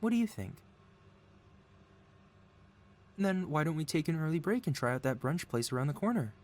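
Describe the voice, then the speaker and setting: A man playing a possessive mad scientist supervillain boss in an ASMR audio roleplay, talking in a soothing, coaxing, flattering way as if innocent. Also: on the high side, fast talker. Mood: cheerful, eager. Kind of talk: ASMR audio roleplay, intimate one-sided dialogue.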